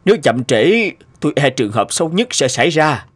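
A man voicing a grave middle-aged doctor in a cartoon drama, with a solemn, measured manner. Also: fairly high pitch, very animated. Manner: slow, solemn. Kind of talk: animated drama voice acting.

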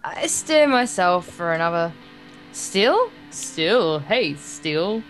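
A woman's voice: on the low side, sing-song, slow pace, faint music behind, low-quality recording. A woman with an English accent reading a visual novel aloud and commenting casually as co-host. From England. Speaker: let's play co-host.

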